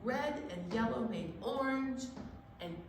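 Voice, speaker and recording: A woman with a fairly high voice leads a children's story time at a slow pace. She is animated and encouraging with young listeners. The recording is echoey, with the microphone some way off. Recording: roomy echo, distant mic, faint noise.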